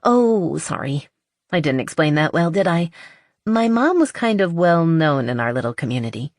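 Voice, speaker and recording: A woman's voice: deep voice, big pitch swings, normal speed, dry recording. Lady narrator doing a cheery artsy girl in love, chatty and keen, romance audiobook, dry sound. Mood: warm, explaining. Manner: chatty and enthusiastic. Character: cheerful, eager, artsy young woman in love. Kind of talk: audiobook character dialogue.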